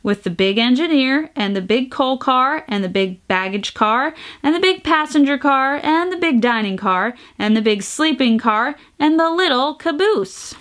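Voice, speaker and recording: High, very lively woman reading to children. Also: normal speed, few pauses. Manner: sing-song, exaggerated emphasis, playful noises. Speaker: storytime host reading a picture book aloud. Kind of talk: animated children's read-aloud with sound effects.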